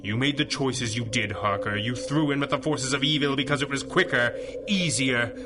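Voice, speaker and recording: An actor playing a commanding, noble masked superhero in 1930s-style radio drama, earnest and deliberate. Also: male voice, mid-range voice, heavy background noise, lightly acted. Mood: urgent, pleading.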